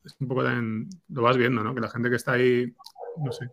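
A man's voice: medium pitch, level tone, a little fast, vocal fry, a bit bright, a little hiss or hum. A man chatting informally and tentatively as an interviewed screenwriter. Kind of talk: casual interview chat.

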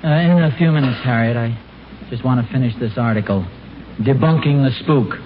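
A man's voice: mid-range voice, a little fast, a little hiss or hum, natural voice. An actor playing a flustered, easily spooked suburban father in a stammering, exasperated 1940s radio sitcom style. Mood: absorbed. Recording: muffled old radio broadcast, music behind.

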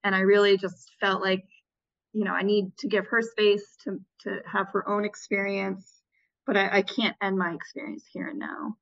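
A woman in her thirties answering interview questions about her volunteer years, thoughtful, pausing and restarting often. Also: medium pitch, level tone, vocal fry, lo-fi sound. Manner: reflective, with frequent restarts and fillers. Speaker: interview guest, former Peace Corps volunteer.

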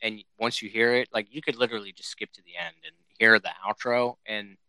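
A man's voice: on the low side, level tone, a little fast, sharp tone, smooth, no echo, low-quality recording. A man hosting a podcast, chatting loosely and cursing, often restarting his sentences. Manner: loose, profane, rambling with restarts. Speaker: podcast host. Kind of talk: casual podcast chat.

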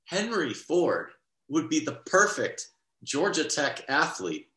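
Young male podcast co-host, rambling sports chatter, bright tone, echoey room. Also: on the high side, big pitch swings, takes it slowly. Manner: rambling, lots of restarts and fillers. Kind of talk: casual sports podcast chat.

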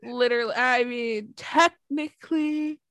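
A woman's voice: a little high, measured pace, fairly bright tone, clear, resonant tone, dry recording. A young woman co-hosting a podcast, chatting casually, giggling and swearing freely as she riffs. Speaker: podcast co-host. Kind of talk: casual chat.

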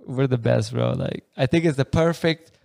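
A man's voice: mid-range voice, normal speed, creaky, dark tone, no echo, studio quality. A young man from Afghanistan, co-hosting a podcast in loose, rambling casual chat full of slang like bro and man. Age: young adult. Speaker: podcast co-host.

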